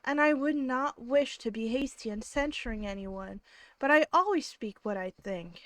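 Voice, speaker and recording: A woman voicing a gentle, kind young woman who speaks softly and sincerely, while reading a classic novel aloud. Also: a little high, normal speed, no room sound, natural voice. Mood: earnest.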